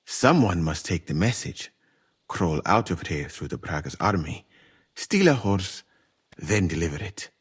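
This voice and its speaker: A man playing a grim, wry, battle-worn medieval soldier in a game, speaking deliberately. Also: deep voice, very animated, breathy edge, full character voice. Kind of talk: dramatic game cutscene acting.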